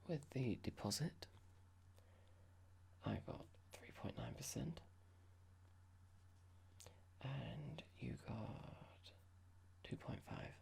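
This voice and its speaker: A performer voicing a horrible, condescending office boss in a whispered ASMR roleplay, mock-polite and slow, asking pointed, patronising questions. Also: mid-range voice, vocal fry, a little mellow, full character voice. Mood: smug, reproachful.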